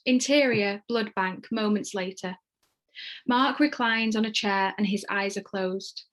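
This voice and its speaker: A woman's voice: mid-range voice, a little slow, poor audio. A woman reading stage directions as the narrator of a screenplay, in scripted narration.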